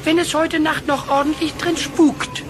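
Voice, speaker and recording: High-voiced timid cartoon sidekick. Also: little pitch movement, measured pace. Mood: puzzled. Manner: hesitant, stammering. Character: timid, whiny, naive cartoon male sidekick. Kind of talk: German children's audio play acting.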